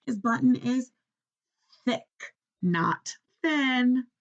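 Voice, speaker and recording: A woman teacher reading a children's story aloud in an expressive, instructional way, often stopping to ask questions. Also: a little high, very slow, highs cut off.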